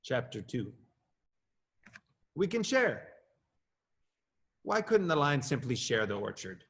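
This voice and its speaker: Middle-aged guy reading his kids' story out loud, expressive, voice jumps up on the exciting bits. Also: mid-range voice, takes it slowly. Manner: expressive, lively rises on questions and exclamations. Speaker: assistant principal. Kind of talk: storybook read-aloud.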